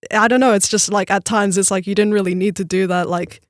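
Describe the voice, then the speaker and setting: A woman's voice: medium pitch, steady tone, quick pace, no room sound. Female podcast panelist, mid-range voice, informal and opinionated, recorded dry. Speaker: podcast panelist discussing films. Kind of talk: casual opinionated chat.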